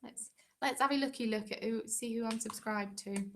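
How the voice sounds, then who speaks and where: A woman's voice: fairly high pitch, moderate pace, a little hiss or hum. A young English woman hosting a craft channel's live stream, chatting casually and rambling with frequent ums.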